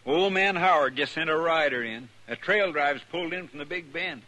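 Bright, earnest marshal's sidekick. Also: male voice, a little high, moderate pace, low-quality recording. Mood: anxious. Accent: American. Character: earnest, anxious marshal's helper bringing news. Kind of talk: 1950s radio western acting. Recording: old radio recording, faint hiss.

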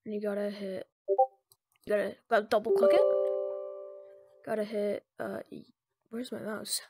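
A speaker giving informal, improvised step-by-step instructions in a casual screen-recorded software tutorial. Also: medium pitch, little pitch movement, moderate pace, vocal fry, over loud music. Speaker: tutorial presenter.

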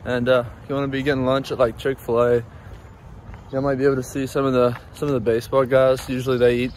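A man's voice: medium pitch, flat delivery, quick pace, a little mellow. Young man, college athlete, easygoing handheld vlog, plenty of "uh" and "y'all", noisy outdoor backdrop. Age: young adult. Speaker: college baseball player vlogging. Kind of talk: casual vlog narration. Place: outdoors on campus and in a campus restaurant. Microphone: phone camera held at arm's length.

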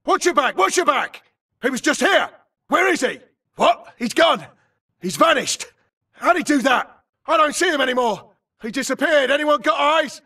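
An actor playing a tough, aggressive infantry grunt in a video game, barking clipped, urgent military callouts. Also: male voice, very high voice, expressive, normal speed, pauses often, recorded from a distance, heavily acted. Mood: tense, frustrated. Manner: clipped, urgent, forceful delivery. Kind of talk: military combat callouts, short shouted barks.

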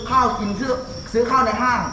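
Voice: mid-range voice, steady tone, quick pace, very noisy. A woman hosting a challenge video in casual, colloquial talk, improvising a story with acted-out sound effects. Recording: roomy echo, music underneath.

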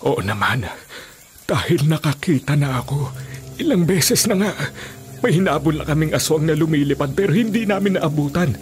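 Man acting earnest old villager, animated storyteller. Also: a little high, moderate pace, faint music behind, lightly acted. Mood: earnest, insistent. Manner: hesitant, emphatic storytelling. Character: older village man, earnest believer in aswang tales. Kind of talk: Filipino radio drama acting. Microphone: studio condenser mic with pop filter.

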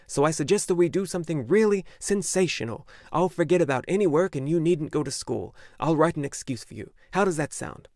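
A man's voice: fairly high pitch, darkish tone, no room sound, studio quality, voice pushed up. A man voicing a cheerful, doting father in an audiobook, jovial and expansive. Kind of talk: audiobook character voice.

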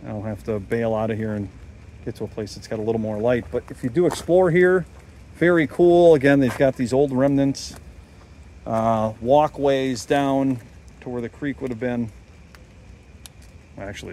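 A man narrating a casual walking tour outdoors into his handheld camera, musing and reflective, with pauses. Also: medium pitch, warm tone, a little hiss or hum. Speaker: vlog host, walking tour guide. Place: outdoors, walking a wooded path at dusk. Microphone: handheld camera held by the speaker.

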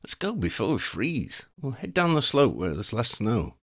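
A man's voice: medium pitch, wildly swooping pitch, normal speed, a bit bright, slightly rough, voice pushed up, exaggerated voice. A man voicing a stubborn young male wizard hero in an audiobook reading, brash and defiant. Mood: determined.

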